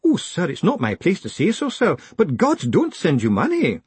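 A man's voice: high voice, wildly swooping pitch, moderate pace, a little mellow, husky, no echo, voice pushed up, heavily acted. A man voicing a wailing older woman clerk. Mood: tearful. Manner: wailing, sobbing. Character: tearful older woman post office clerk, melodramatic.

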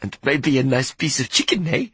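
A woman's voice: rumbling low, expressive, normal speed, fairly bright tone, husky, no room sound, pitched above the performer's own voice, full character voice. A man reading an audiobook, voicing a big, emotional woman on a train who coaxes, weeps and turns haughty. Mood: coaxing. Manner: coaxing, tearful, haughty.

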